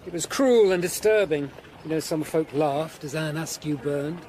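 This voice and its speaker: Casting: male actor as humane, reflective English lawyer of the Tudor age, forties, measured radio drama narration, faint background noise. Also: fairly high pitch, darkish tone, close to an everyday voice. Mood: grim. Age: 40s. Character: melancholy middle-aged hunchbacked Tudor lawyer, humane and thoughtful.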